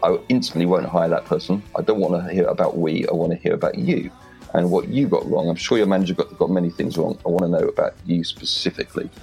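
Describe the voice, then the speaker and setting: Low-voiced Welsh man, direct and confident. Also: fairly even intonation, fast talker, gravelly, a little mellow, soft background music. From Wales. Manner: direct, confident, plain-spoken. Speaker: guest. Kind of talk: interview advice. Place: home in rural Wales.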